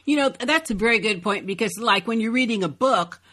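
A woman co-hosting a podcast in casual chat, agreeable and quick to chime in with affirmations. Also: a little high, animated, bright, forceful tone. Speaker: podcast co-host.

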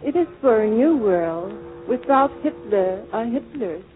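An earnest, patriotic schoolgirl in an old radio drama. She recites slowly and carefully in a high voice, through tinny sound with music behind. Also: expressive. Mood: earnest, solemn. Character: earnest, patriotic Harlem schoolgirl reading her composition. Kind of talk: 1940s radio acting.

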